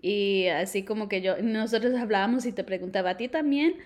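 A woman's voice: mid-range voice, fairly quick. A young woman co-hosting a podcast, chatting casually and mixing in English words. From Colombia. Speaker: podcast co-host. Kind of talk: casual chat.

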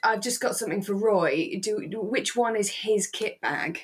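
A woman co-hosting a relaxed discussion podcast, chatty and given to acting out quotes as she talks. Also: mid-range voice, normal speed. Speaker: podcast co-host. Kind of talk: relaxed discussion of a radio drama.

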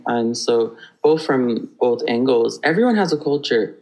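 A man from Hawaii, a podcast guest telling stories in an animated, rambling way with many restarts. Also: medium pitch, a little slow, poor audio. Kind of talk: conversational storytelling.

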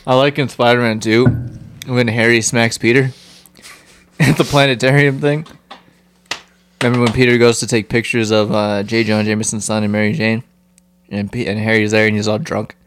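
A man's voice: on the low side, level tone, moderate pace, pauses often, fairly bright tone, smooth. A man co-hosting a podcast in casual, joking chat, swearing freely and breaking into laughter and loose movie impressions. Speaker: podcast co-host.

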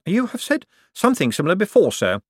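A man's voice: high-pitched, huge pitch swings, fast, breathy edge, dry recording, clean studio sound, voice pushed up, heavily acted. A man voicing a prim, polite male secretary who speaks deferentially and precisely in an audiobook.

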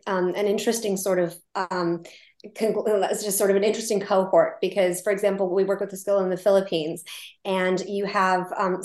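An American woman, a guest on a podcast interview, speaking thoughtfully with frequent pauses and ums. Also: medium pitch, a little mellow, echoey room. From United States. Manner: thoughtful, hesitant, many ums. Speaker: guest, founder of climate psychology group.

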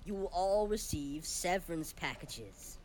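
A man's voice: high-pitched, animated, rough recording. A man as a rambling, absent-minded boss, swooping voice. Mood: cheerfully tactless. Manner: halting, forgetful, cheerfully oblivious. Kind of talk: comic audio play acting.

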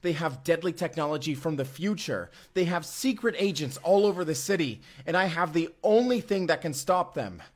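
A man's voice: fairly high pitch, expressive, normal speed, few pauses. An actor playing a desperate, foul-mouthed young man on the run in an audio drama. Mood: frantic, pleading. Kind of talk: first-person immersive audio drama acting.

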